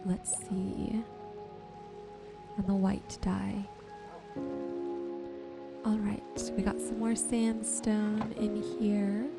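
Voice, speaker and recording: A woman narrates her gameplay in a soft-spoken ASMR style at a very slow pace. Her pitch sits in the middle range for a woman, and she muses aloud to herself and her viewers. Music and rain sounds play clearly behind her voice. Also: little pitch movement. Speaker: gaming streamer and host.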